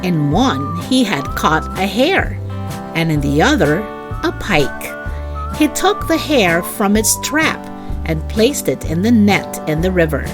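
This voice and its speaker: A woman reading a story aloud to children in an expressive, deliberate, child-directed way. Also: on the low side, over music.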